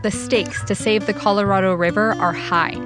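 A woman's voice: mid-range voice, steady tone, normal speed. Woman narrating a promo over music.

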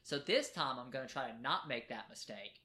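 A man's voice: medium pitch, lively intonation, fairly quick, sharp tone. A man co-hosting a casual podcast from a kitchen table at home, rambling and joking as he talks. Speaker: podcast co-host. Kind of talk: casual chat. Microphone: home podcast mic.

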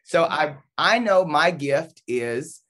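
A man's voice: fairly high pitch, expressive, slow, fairly bright tone. A man talking as an interview guest, casual and rambling, often restarting his sentences.

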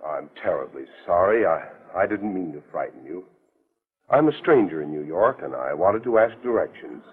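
A very low, husky male voice on a tinny 1940s radio recording: a sinister stranger in a mystery drama. He is haltingly polite and apologetic, with menace beneath it. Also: animated, exaggerated voice. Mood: falsely apologetic.

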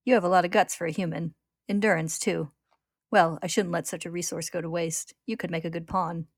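A woman voicing a haughty, measured male patriarch of monsters, cold and disdainful, in a story retelling. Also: on the low side, steady tone, no room sound, clean studio sound. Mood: coolly calculating.

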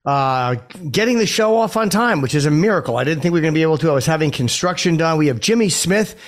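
A man's voice: on the high side, expressive, fast talker. A man in his fifties hosting a radio talk show with a dry, wry manner, speaking into a studio microphone. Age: 50s. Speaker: radio host.

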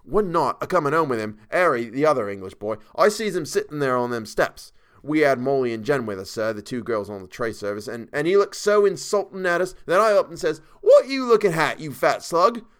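A man acts out a young Cockney servant in an audiobook tale. He pitches high and loud, an indignant ex-soldier who stays deferential. His speech is uneducated and emphatic. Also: expressive, brisk, clear, resonant tone, lightly acted.

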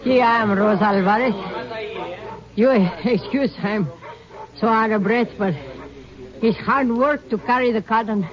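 A woman's voice: a little high, a little slow, long pauses, crowd noise, natural voice. An actress playing a worried older Mexican mother who picks cotton, speaking broken English with a Mexican Spanish accent, out of breath, in 1950s radio acting. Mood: breathless. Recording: dull old radio broadcast.

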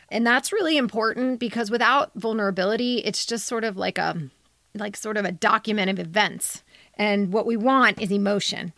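A woman's voice: mid-range voice, creaky, fairly bright tone, no room sound, clean studio sound. A woman co-hosting a podcast, giving candid, emphatic and blunt critique in a conversational way. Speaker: podcast co-host and editor. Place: recording studio.

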